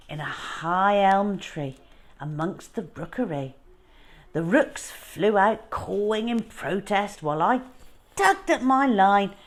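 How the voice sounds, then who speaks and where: Woman playing a wistful, slow-spoken old lady. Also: mid-range voice. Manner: measured, reflective, storytelling. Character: wistful old lady recalling her lonely childhood.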